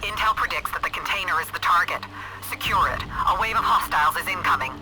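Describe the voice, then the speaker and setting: An actress playing a stern military commander in a video game, giving clipped, formal, authoritative orders. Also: female voice, on the low side, fast, close to an everyday voice, low-quality recording. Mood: urgent. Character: stern female military commander briefing a soldier. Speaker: mission commander. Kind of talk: video game radio dialogue. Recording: loud music and engine noise behind.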